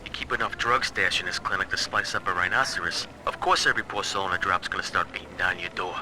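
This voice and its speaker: A man's voice: low-pitched, fast, noisy background, close to an everyday voice. An actor playing an irritated, put-upon working man, muttering colloquially in a video game audio diary. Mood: exasperated. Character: grumbling, put-upon working man minding security codes.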